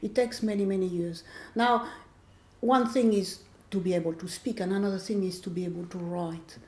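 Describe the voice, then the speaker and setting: A woman in her sixties from France with a French accent, a novelist speaking reflectively in an interview, often pausing to search for words. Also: mid-range voice, fairly even intonation, mellow. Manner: thoughtful, searching for words, restarts phrases. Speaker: author being interviewed. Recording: echoey room.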